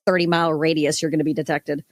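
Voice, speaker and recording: A woman's voice: sits low, flat delivery, fast talker, no room sound, clean studio sound. A woman podcast panelist making casual, dryly wry comic remarks. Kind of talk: casual comedic banter.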